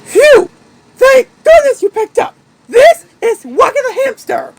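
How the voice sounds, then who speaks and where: A performer doing Wacky the Hamster, squeaky and tearful. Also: lively intonation, measured pace, background noise. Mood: tearful, pleading. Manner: crying, begging, shouting. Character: frantic little hamster, tearful and pleading.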